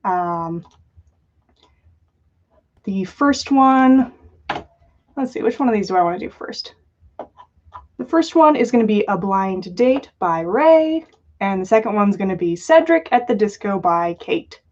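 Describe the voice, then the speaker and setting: A woman's voice: medium pitch, animated, unhurried, long pauses, a little mellow. A woman hosting a casual livestream, chatting and joking playfully with her viewers. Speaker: podcast host running a livestream. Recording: dull, lo-fi livestream audio.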